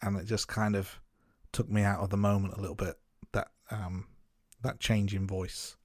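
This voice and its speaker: Brief: male podcast co-host, lowish voice, dry close sound, steady scene recap. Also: normal speed, no echo. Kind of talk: casual film review chat.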